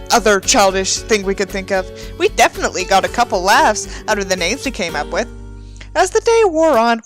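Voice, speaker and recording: A woman reading a book aloud in a mocking, dramatic way, with incredulous, sweary asides. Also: medium pitch. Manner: dramatic, incredulous, expressive reactions. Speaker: reader and commentator of a badly written book.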